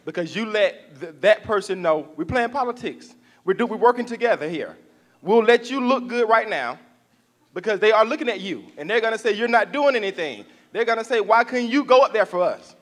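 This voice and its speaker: A man with a notably high voice makes his case at a workshop. He sounds animated and persuasive. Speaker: workshop participant. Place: conference room.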